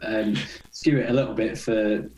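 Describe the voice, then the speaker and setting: English-accented young man, low, echoey room. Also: moderate pace. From Scotland. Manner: relaxed, chatty, laughs while telling stories. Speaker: podcast guest, racing rider. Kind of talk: casual interview chat.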